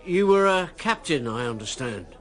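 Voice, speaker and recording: An actor playing a brash, volatile election candidate and ex-army major in a radio drama, defiant and agitated. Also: male voice, a little high, big pitch swings, moderate pace, a bit bright, some chatter behind. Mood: curt.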